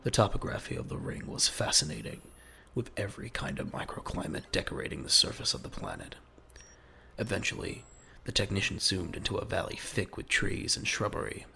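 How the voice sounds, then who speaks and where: A man narrating a scripted audio drama in a measured, dramatic, gravelly voice. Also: deep voice, animated, bright, forceful tone, a little hiss or hum.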